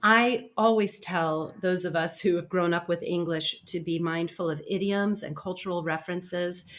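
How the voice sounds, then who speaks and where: American woman, interview guest; earnest, animated, emphatic conversational read. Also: fairly low pitch, moderate pace. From United States. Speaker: guest, author and speaker.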